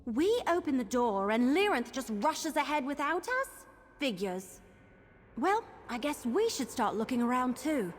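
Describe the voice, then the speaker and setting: A woman's voice: high voice, very animated, measured pace, slight background noise. A woman voicing an earnest, eager young adventurer in a fantasy video game, speaking in a candid, informal way. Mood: determined. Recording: music behind the voice.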